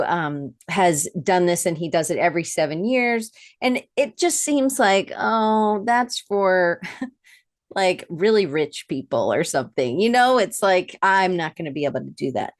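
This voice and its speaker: Woman host, a bit low voice, rambly chatty intro, totally dry mic. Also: dry recording. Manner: chatty and rambling, greets the live audience. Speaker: podcast host. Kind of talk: casual live-stream interview.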